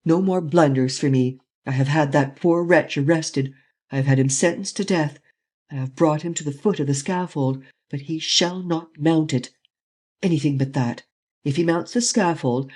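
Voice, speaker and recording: A reader voicing a daring, commanding gentleman thief who speaks urgently and forcefully in an audiobook. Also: medium pitch, normal speed, lightly acted. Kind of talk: audiobook reading.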